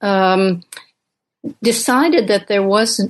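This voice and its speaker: An older woman from Uganda, a professor and author, answering interview questions thoughtfully with many pauses and fillers. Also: medium pitch. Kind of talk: podcast interview.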